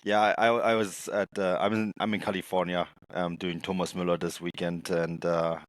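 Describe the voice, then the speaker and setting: A man's voice: low voice, flat delivery, normal speed, no echo. A man hosting a football podcast, chatting casually with guests, laughing often and using lots of filler words. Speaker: podcast host.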